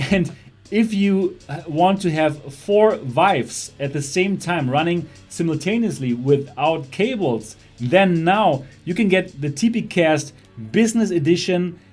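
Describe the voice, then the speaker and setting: A man's voice: high-pitched, lively intonation, a little slow, darkish tone, soft background music. A young man from Germany with a German accent, hosting a casual livestream about VR tech, chatty and laughing often as he reads chat. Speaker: host of a VR livestream show.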